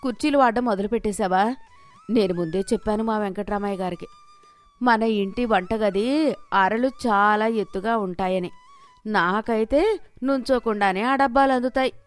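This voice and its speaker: A woman's voice: mid-range voice, normal speed, faint music behind, natural voice. A woman voicing a proud, fussy village mother-in-law with a mocking, domineering way of talking, in a moral story. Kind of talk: Telugu moral story character voice.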